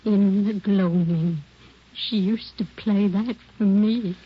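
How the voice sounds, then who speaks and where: Slow-talking, clear-voiced radio landlady. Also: mid-range voice, steady tone. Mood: wistful. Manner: unhurried, chatty, wistful asides. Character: talkative, particular landlady fond of her tenant. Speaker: landlady questioned by police. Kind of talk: 1940s radio drama acting. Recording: muffled 1940s radio recording.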